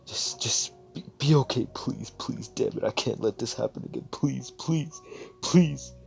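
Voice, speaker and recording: A man's voice: on the low side, moderate pace, darkish tone, soft background music, natural voice. A man voicing a desperate, grieving teenage boy who pleads and repeats himself, close to tears. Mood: pleading.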